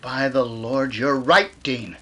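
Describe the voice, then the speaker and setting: A man playing a shaken witness in a 1930s-style radio mystery, husky, halting and horrified. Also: medium pitch, fairly even intonation, a bit bright, highs cut off. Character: shaken witness examining a grisly murder scene.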